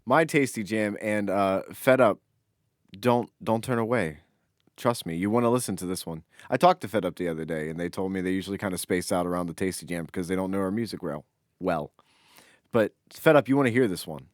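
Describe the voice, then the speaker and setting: A man's voice: sits low, fairly quick, dry recording, studio quality. A man co-hosting a podcast in casual comedic banter, emphatic and playful. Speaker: podcast co-host.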